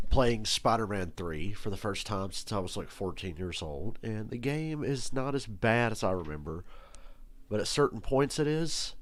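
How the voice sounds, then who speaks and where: A young man with a hoarse, nasal voice, chatting casually and swearing freely while he reacts to a race live. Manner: rambling, swears freely, talks in a low register. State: hoarse, losing his voice. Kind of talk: casual profane chat over a broadcast.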